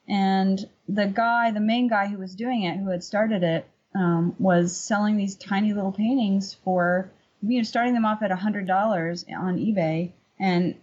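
A woman's voice: mid-range voice, darkish tone, husky. A middle-aged woman talking casually and informally as an interview guest, telling stories and explaining her work as a painter. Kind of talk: casual conversational storytelling and explanation. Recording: dull lo-fi remote audio.

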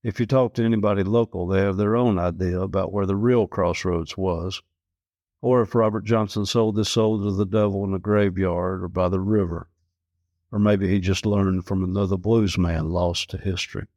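A man's voice: low-pitched, steady tone, normal speed, a little mellow, no echo, dull audio. A man reading a literary travel story aloud as scripted narration.

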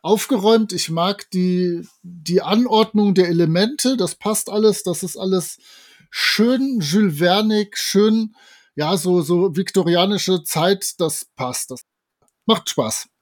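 A man's voice: high-pitched, slow pace. A man talking casually as a panelist on a review podcast.

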